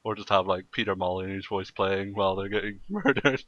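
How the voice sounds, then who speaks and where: A man's voice: low-pitched, fairly quick, fairly bright tone, no echo, highs cut off. A man podcasting in casual, joking banter with a theatrical, playful manner.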